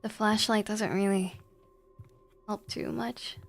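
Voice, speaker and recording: A woman's voice: medium pitch, steady tone, slow, faint music behind. A woman giving casual, informal livestream commentary on horror games, thinking aloud in a hesitant way. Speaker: video game livestreamer.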